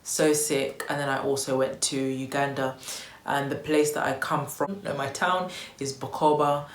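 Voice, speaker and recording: A woman's voice: very deep voice, steady tone, moderate pace. A young woman from Tanzania chatting casually in a vlog, upbeat and loose, with lots of "um" and "you know". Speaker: vlogger. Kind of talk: casual vlog chat to camera. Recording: echoey room with faint noise.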